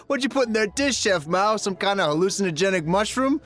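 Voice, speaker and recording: A man's voice: high voice, animated, moderate pace, fairly bright tone, faint music behind. A man playing a jovial, mocking restaurant customer in a video game, joking and teasing. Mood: amused, teasing. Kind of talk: animated video game dialogue acting.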